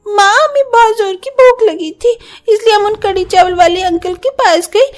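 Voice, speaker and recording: A small, hungry cartoon child in an extremely high voice. The child sounds plaintive and whiny, always pleading. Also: female voice, normal speed, soft background music. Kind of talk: cartoon story voice acting.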